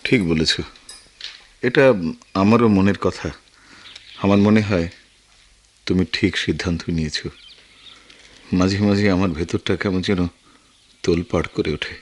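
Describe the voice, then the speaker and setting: An actor with a Bangladeshi accent playing an earnest, brooding war-veteran writer in a radio drama, speaking in a reflective, measured way. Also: male voice, sits low. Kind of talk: Bengali radio drama acting.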